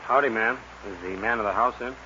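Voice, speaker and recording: An actor playing a courteous, unruffled cowboy stranger with a folksy manner, in a 1940s radio western. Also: male voice, fairly low pitch, a little fast, close to an everyday voice. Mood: polite, unruffled. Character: easygoing, polite cowboy stranger on horseback. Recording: dull, lightly noisy 1940s radio recording.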